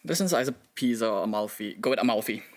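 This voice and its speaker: A young man from Singapore on a quiz team who talks fast. He reasons through each answer out loud before he commits to it. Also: lowish voice, animated. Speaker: quiz contestant, student.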